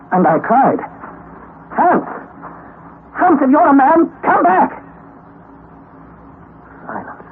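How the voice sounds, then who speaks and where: Young expedition narrator, anxious and theatrical, highish voice, very animated, on tinny old radio. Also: male voice, a little hiss or hum. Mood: desperate. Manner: earnest, theatrical. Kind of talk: dramatic old-time radio narration and acting.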